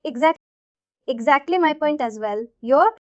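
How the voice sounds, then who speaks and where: High-pitched Indian woman teaching, dull audio. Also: animated, measured pace, no echo. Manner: repetitive, checks that listeners follow. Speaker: software instructor. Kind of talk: informal live tutorial explanation.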